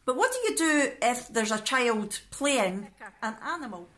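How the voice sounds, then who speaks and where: A woman's voice: a little high, animated, measured pace, fairly bright tone. A woman in her thirties chatting casually and animatedly to camera as a vlogger in a living room, recorded at arm's length. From Scotland. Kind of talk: casual vlog chat to camera. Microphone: phone or camera at arm's length.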